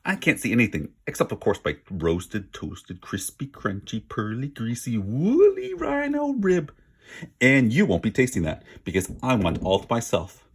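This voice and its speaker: Man voicing a taunting, husky storybook caveman, swooping pitch. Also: wildly swooping pitch, normal speed, full character voice. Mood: boastful, scornful. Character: mocking, boastful, greedy prehistoric human guarding his dinner. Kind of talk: animated storybook read-aloud.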